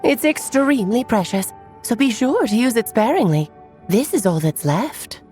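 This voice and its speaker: A woman's voice: on the high side, expressive, moderate pace, a little mellow, natural voice. A woman playing an elegant, playful adult witch who speaks in an airy, teasing, coy way in a video game cutscene. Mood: teasing, explanatory. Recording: faint music behind the voice.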